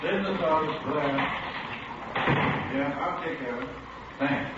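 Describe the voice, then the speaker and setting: Cop office clerk, businesslike, roomy old radio sound w loud hiss. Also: male voice, on the high side, slow. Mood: matter-of-fact. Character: businesslike male police office clerk issuing weapons. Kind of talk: 1950s radio police drama acting. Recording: echoey, muffled, noisy old radio.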